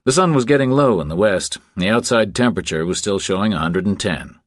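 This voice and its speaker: A man's voice: on the low side, expressive, fast talker, dry recording, clean studio sound. A man reading a novel aloud as a scripted third-person audiobook narration.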